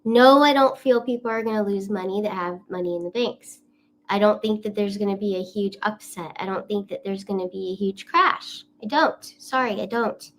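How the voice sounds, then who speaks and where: Chatty woman vlogger, mid-pitched. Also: moderate pace. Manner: rambling, many fillers and restarts, enthusiastic. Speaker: psychic and astrology channel host. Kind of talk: casual chatty vlog to viewers.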